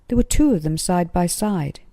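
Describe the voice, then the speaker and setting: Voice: mid-range voice, lively intonation, moderate pace, mellow, no room sound, clean studio sound. A narrator reading a children's story aloud from a script.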